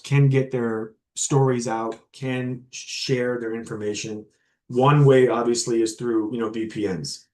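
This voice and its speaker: A man in a university classroom delivering an informal guest talk. His voice sits in an ordinary middle pitch, and his delivery is loose and conversational, with many fillers and restarts. He breaks off now and then to explain terms simply for his listeners. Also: darkish tone. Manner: casual and conversational, with many fillers and repeated words; explains terms plainly for the audience. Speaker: guest lecturer. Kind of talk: informal university lecture to students.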